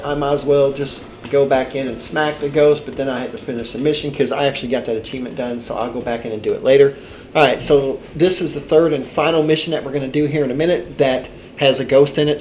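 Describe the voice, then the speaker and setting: A man's voice: medium pitch, darkish tone, roomy sound, a little hiss or hum. An older man with a head cold, sounding congested and stuffed up, giving a rambling, folksy gameplay walkthrough as a channel host. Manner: rambling, folksy, self-correcting. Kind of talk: casual gameplay walkthrough commentary.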